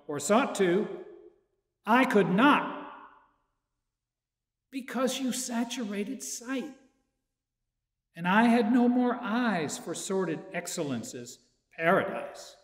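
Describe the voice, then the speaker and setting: Older man, high-pitched voice, poetry reading, slow and measured, echoey chapel. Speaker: poetry reader. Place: church chapel. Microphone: far camera, stand mic in front. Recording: echoey church with long reverb.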